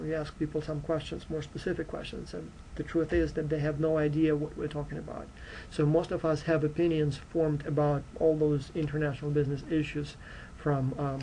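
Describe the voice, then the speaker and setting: Ukrainian guy lecturing his class, earnest, lots of uh and you know, dark mellow voice, faint hiss behind. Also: a little high, low-quality recording. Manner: earnest, direct, frequent fillers like uh and you know. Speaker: university lecturer. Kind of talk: recorded course introduction lecture.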